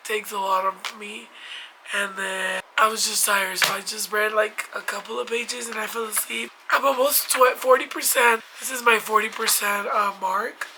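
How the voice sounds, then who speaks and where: A young woman with a hoarse, congested voice from a cold, chatting casually about her reading from home. She rambles, with lots of fillers and restarts. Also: mid-range voice, bright, forceful tone, a little hiss or hum, rough recording. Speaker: book vlogger. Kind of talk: casual chat. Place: at home in bed.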